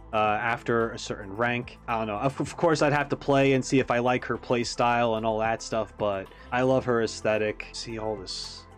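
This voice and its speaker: A man giving a casual, animated reaction commentary, thinking aloud as he analyzes like a game fan. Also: a little high.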